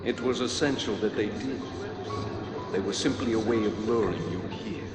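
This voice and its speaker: An actor playing a smug, calculating warlord villain in a video game, speaking in a deliberate, pompous, taunting way. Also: male voice, on the low side, close to an everyday voice. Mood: coolly calculating. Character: villainous male martial warlord and mind-controller, smug and calculating. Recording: echoey hall, music behind.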